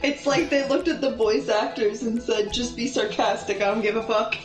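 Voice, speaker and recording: A woman's voice: fairly high pitch, moderate pace, far from the mic. A young woman telling a casual, sweary story while gaming, rambling and easily sidetracked. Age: young adult. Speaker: storyteller and gamer. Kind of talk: casual storytelling while playing a video game. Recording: roomy echo with music behind.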